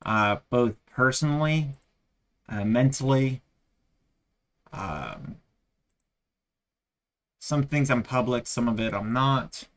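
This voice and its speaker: A man's voice: medium pitch, lively intonation, slow, long pauses. A tired man losing his voice, rambling with many fillers as he chats casually on a live trading stream.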